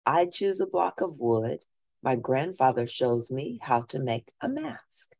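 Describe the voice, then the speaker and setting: Slow deep-voiced woman reading aloud. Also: sing-song, creaky, darkish tone, no room sound. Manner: slow, deliberate read-aloud pacing with questions to listeners. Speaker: teacher. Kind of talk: reading a picture book aloud.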